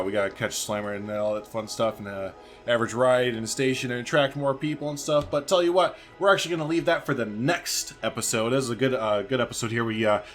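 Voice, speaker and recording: A man hosting a let's-play video, chatting informally and thinking aloud as he plays. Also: medium pitch. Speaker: gaming video host. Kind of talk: casual let's-play commentary. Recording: faint background noise and game ambience.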